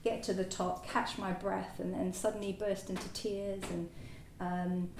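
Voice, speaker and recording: Woman, native French speaker, halting reflective talk, distant mic in an echoey room with faint noise. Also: mid-range voice, flat delivery, darkish tone. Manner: hesitant, halting, many fillers and restarts. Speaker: new Buddhist order member. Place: meditation centre hall.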